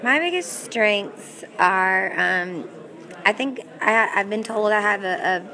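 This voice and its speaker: A woman's voice: medium pitch, animated, slow pace, bright, forceful tone. A young woman country singer answering interview questions in an upbeat, chatty way, often restarting her sentences. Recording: crowd audible behind. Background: crowd chatter.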